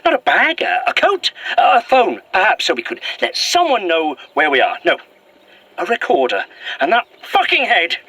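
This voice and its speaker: Horror podcast role: male actor as irritable British sceptic on the run, high-pitched, swooping delivery, sarcastic and fed up. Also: wildly swooping pitch, moderate pace, bright, forceful tone, no echo, exaggerated voice. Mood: exasperated. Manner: exasperated, sarcastic, sweary. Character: irritable, sceptical, panicky man, reluctantly possessed, on the run. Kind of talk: found-footage horror audio drama.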